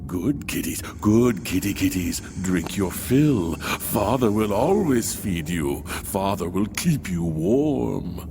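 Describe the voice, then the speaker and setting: A man voicing a weary, dying man who croons slowly and tenderly, like a doting father, in a horror story. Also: lowish voice, very animated, background noise, exaggerated voice. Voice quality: tired, creaky.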